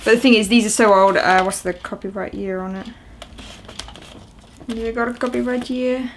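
A woman's voice: fairly high pitch, steady tone, normal speed, slight background noise. Chatty young woman rambling over an unboxing. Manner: chatty, rambling, lots of ums and uhs. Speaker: video host. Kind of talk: casual unboxing chat. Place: small indoor room at home, seated on a sofa.

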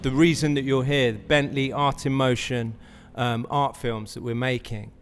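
A man's voice: mid-range voice, fairly even intonation, normal speed, soft background music. Casual male host in a reverberant studio. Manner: casual, filler-heavy questions. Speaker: podcast host. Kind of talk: casual interview chat. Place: large bright studio, indoors. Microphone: stand mic.

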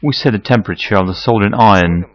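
A man in his forties giving a measured, scripted how-to explanation as a technical consultant. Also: on the low side, dull audio. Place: electronics workshop, indoors.

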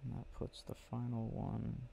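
A man speaking softly and drowsily in an ASMR boyfriend roleplay, murmuring with unhurried pauses. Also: mid-range voice, steady tone, vocal fry, slight background noise. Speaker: ASMR roleplay audio creator. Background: game clicking sounds.

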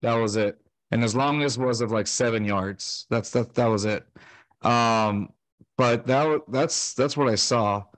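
A man's voice: medium pitch, measured pace, dry recording. A man in his thirties co-hosting a fan podcast over a video call, rambling with lots of fillers and restarts. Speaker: podcast co-host. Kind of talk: casual sports chat. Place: home room, video call. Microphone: studio mic on stand with headset.

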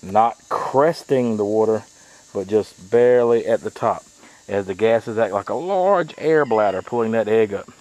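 A man's voice: fairly low pitch, animated, a little slow, darkish tone, no echo, rough recording. Folksy man demonstrating outdoors. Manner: folksy, chatty, with frequent uh fillers. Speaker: small farm owner demonstrating. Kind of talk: casual how-to demonstration. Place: outdoors on a backyard deck.

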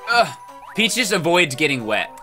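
A man's voice: on the high side, very animated, moderate pace, sharp tone, soft background music. A man co-hosting a let's play, chatting casually and yelping and laughing when he misses jumps. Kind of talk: casual gameplay banter.

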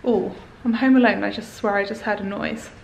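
A woman's voice: mid-range voice, fairly even intonation, a little fast, vocal fry, slight background noise, poor audio. A young woman in her twenties, chatting casually as she films a tour of a rented house with a handheld camera. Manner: chatty, upbeat, rambling with fillers. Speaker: vlogger. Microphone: handheld camera at arm's length.

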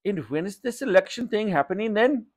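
Excitable, flattering storybook shopkeeper, acted by a man, high and swooping, exclaiming effusively. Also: fairly quick. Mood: puzzled, breezy.

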